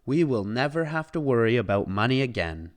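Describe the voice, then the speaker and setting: A man voicing an excitable, good-hearted older inventor father, earnest and given to exclaiming. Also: mid-range voice, a little fast, close to an everyday voice. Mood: hopeful.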